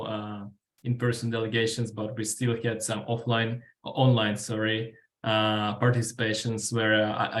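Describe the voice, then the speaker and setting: Young ukrainian-accented guy giving a workshop talk, pretty flat pitch, lots of uhs. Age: 20s. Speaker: guest speaker, student delegate. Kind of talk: informal workshop talk answering questions.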